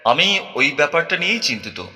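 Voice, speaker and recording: Guy acting as a shrewd detective, very bright forceful voice, swooping pitch, formal and probing, radio drama. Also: big pitch swings, fairly quick, exaggerated voice. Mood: serious, intent. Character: shrewd, composed male detective, methodical and self-assured. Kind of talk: Bengali audio drama acting. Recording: long hall reverb.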